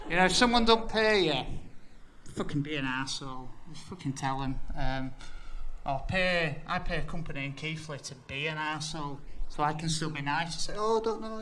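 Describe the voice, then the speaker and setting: Blunt, bright-voiced Yorkshire man. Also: on the high side, a little hiss or hum, low-quality recording. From Yorkshire, England. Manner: blunt, sweary, self-deprecating. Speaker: freelance designer giving a talk. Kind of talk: casual conference presentation.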